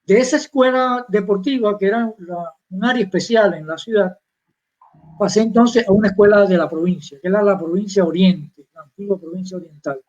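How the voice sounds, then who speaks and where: A man's voice: high-pitched, fairly quick, a little mellow, dull audio. An older man from Cuba with a Cuban Spanish accent, telling about his beginnings as a guest on a live interview, proud and rambling with restarts. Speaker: guest chess master.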